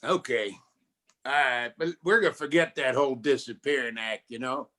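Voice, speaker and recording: A man's voice: mid-range voice, normal speed, sharp tone, natural voice. A man playing a wisecracking, streetwise 1940s private detective in a campy radio noir style. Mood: scheming. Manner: slangy, wry. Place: live community theatre stage performance.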